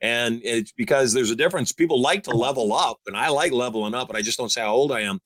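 A man's voice: medium pitch, quick pace, fairly bright tone, no room sound. A man in his sixties giving upbeat, emphatic advice as a show host. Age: 60s. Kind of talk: motivational call-in advice.

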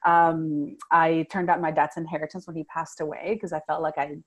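A woman's voice: low-pitched, level tone, moderate pace. Rich: An adult woman from Venezuela, speaking English as a later language. She tells her own story as an interview guest, earnest and reflective, often restarting a thought and checking in with "right?". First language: Spanish.

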